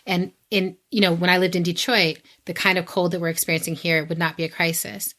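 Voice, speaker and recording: A woman's voice: fairly low pitch, level tone, brisk, a bit bright. A woman giving casual advice as a podcast guest, thinking aloud in an informal way.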